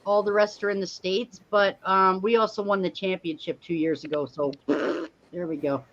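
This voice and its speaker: A woman hosting a casual live-streamed watch party, chatty and quick to laugh. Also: mid-range voice, fairly even intonation, muffled recording. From Canada. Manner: chatty, laughs often, repeats herself.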